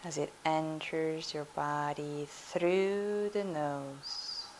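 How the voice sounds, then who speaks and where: Woman yoga teacher talking u thru a meditation, low soothing hushed voice, steady hiss on the recording. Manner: soothing, hushed, unhurried instruction. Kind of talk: guided meditation.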